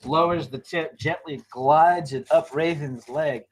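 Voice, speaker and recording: Guy reading script action at a table read, bit high pitched, informal, tosses in wry comments. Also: measured pace. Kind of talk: reading screenplay action lines aloud.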